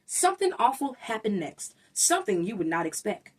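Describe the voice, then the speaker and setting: Woman, husky voice, very animated intonation, expressive rhyming storybook read-aloud, slightly distant microphone. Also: mid-range voice, a little fast. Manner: expressive, dramatic emphasis. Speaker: storybook reader.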